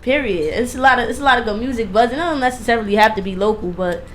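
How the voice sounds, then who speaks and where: A woman's voice: on the high side, rapid-fire. Casual woman radio host, noisy recording. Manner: informal, emphatic, repeats phrases for emphasis. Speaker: radio DJ and interviewer. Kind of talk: casual, slangy radio interview chat.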